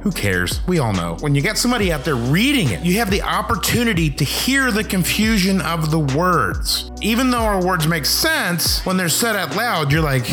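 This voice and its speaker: A man's voice: high voice, sing-song, normal speed, few pauses, fairly bright tone, soft background music. A man giving casual, instructional advice as a screenwriter, rambling and emphatic, with a wry, self-deprecating manner. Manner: self-deprecating, wry, acts out imagined reactions. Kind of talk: casual instructional talk to camera.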